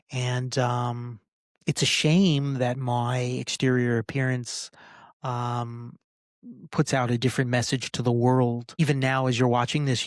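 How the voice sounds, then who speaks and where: A man in his forties delivers a casual podcast rant with little variation in pitch. His phrasing is halting and circles back on itself, with a frustrated, sweary edge. The sound is dry, with very little room in it. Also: dry recording. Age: 40s. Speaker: podcast host. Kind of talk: casual personal rant to listeners.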